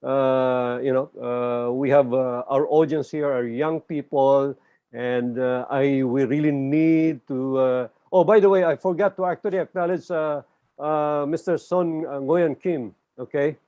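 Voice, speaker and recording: A man's voice: medium pitch, steady tone, unhurried, smooth, no echo, muffled recording. A man moderating a panel discussion, talking in a hesitant, conversational way with many fillers.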